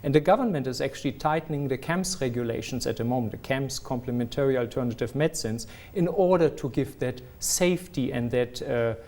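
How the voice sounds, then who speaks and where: A man's voice: mid-range voice, fairly quick, few pauses, a little mellow. A middle-aged man with a non-South African accent, a company executive answering business interview questions earnestly, often restarting as he searches for words.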